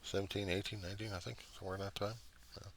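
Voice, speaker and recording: A middle-aged American man giving a casual, rambling monologue, halting as he thinks aloud in plain words. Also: on the low side, level tone, a bit bright, a little hiss or hum. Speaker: vlogger.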